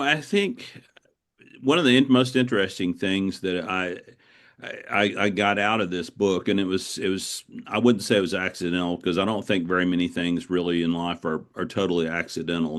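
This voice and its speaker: Male author guest, low-pitched voice, reflective and anecdotal, with a halting conversational flow. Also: fairly even intonation, a bit bright. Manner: reflective, anecdotal, often repeats words as he goes. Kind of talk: conversational interview answers.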